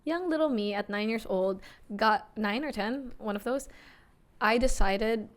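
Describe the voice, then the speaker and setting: A woman's voice: on the high side, a little slow. A woman in her twenties from the Philippines, chatting casually as a podcast co-host and often searching for words. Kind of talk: casual chat.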